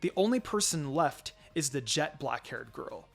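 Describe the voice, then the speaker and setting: A man's voice: a little high, lively intonation, unhurried, gravelly, faint music behind. A man reading fan fiction aloud as a dramatic story narration.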